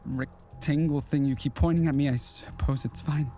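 An actor playing an eerie, courteous, melancholy stranger from the past in an audio drama, speaking haltingly with old-fashioned politeness. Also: male voice, a little high, fairly even intonation, warm tone, soft background music, natural voice. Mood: wistful, halting.

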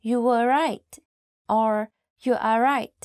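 A woman's voice: a little high, steady tone, slow pace, darkish tone, clear, resonant tone, dry recording, studio quality. A woman in her twenties from Thailand with a Thai accent, giving an informal language lesson as a teacher talking to camera. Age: 20s. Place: small indoor studio. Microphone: lapel mic.

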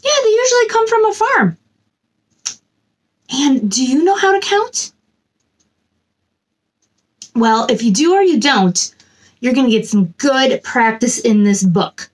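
Woman, 30s, kids' story time host; high, very animated, bubbly read-aloud in a small room. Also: measured pace, long pauses. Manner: bubbly, sing-song talk to children. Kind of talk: reading a picture book aloud to kids. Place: small decorated room indoors.

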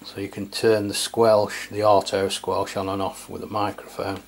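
A man's voice: fairly low pitch, normal speed. A middle-aged man from England giving an unscripted, casual product review in a hesitant, matter-of-fact way. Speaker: radio reviewer. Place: indoors at a tabletop. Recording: clear steady background noise, room ambience.

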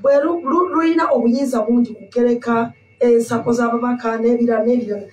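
A woman's voice: fairly high pitch, fairly even intonation, fast talker, a little mellow, recorded from a distance. A Ugandan woman in her thirties explaining points at length in a studio interview, mixing in English terms. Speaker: guest analyst. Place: indoor studio. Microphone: studio mic on stand. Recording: echoey room, voice off-mic.

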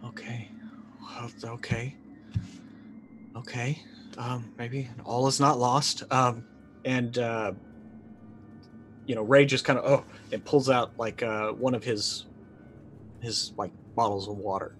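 A man's voice: medium pitch, fairly even intonation, slow, long pauses, fairly bright tone, faint music behind. Man roleplaying in hesitant, broken phrases. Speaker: tabletop roleplaying player. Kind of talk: improvised in-character roleplay and narration.

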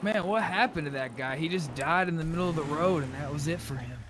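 A man's voice: a little high, fast, noisy background. A man chatting casually in video game roleplay, swearing freely with mock indignation. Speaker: gamer, roleplay streamer.

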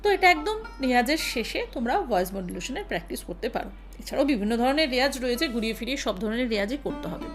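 A woman, a singing teacher calmly explaining practice routines to her students. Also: a little high. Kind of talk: casual spoken lesson.

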